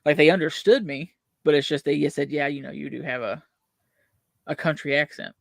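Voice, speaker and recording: A man's voice: a little high, fairly even intonation, normal speed, vocal fry, dry recording, clean studio sound. A young man from West Virginia with a Southern country accent, rambling through a casual movie chat with lots of fillers. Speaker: podcast co-host.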